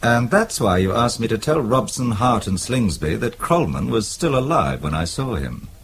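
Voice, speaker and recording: A man's voice: medium pitch, sing-song, normal speed. An actor playing a level-headed, wryly curious man caught up in espionage, polite and questioning, in a radio drama. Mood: probing. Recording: noisy radio drama recording.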